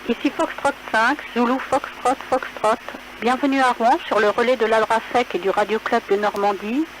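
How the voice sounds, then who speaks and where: Lady doing scripted ham repeater id, highish voice, noisy radio signal. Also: steady tone, normal speed. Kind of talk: scripted station identification announcement.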